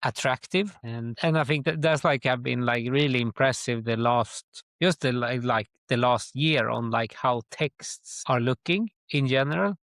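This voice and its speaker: Swedish-accented man, halting and conversational. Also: mid-range voice, fairly bright tone, no echo, clean studio sound. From Sweden. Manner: halting, with very frequent "like". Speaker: guest, tour company chief executive. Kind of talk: conversational interview answers.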